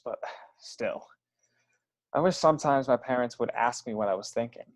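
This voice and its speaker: A man playing a shy, self-doubting man with a halting, earnest way of talking in a play table read. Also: mid-range voice, darkish tone, close to an everyday voice.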